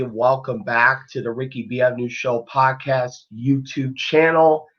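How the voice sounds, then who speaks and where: A man hosts a casual live sports podcast, unhurried and taking his time over each thought. His voice sounds a little worn, and he rambles easily with plenty of ums and restarts. The stream audio is dull and lo-fi. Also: medium pitch. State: voice a bit worn after nearly losing it. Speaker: podcast host.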